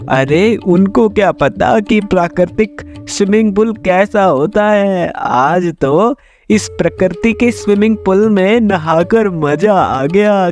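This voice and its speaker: High-pitched, blustering village man acted by a man. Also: darkish tone. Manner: exclamatory, dramatic complaining. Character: blustering, put-upon village man, easily outraged. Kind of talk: Hindi cartoon story voice acting.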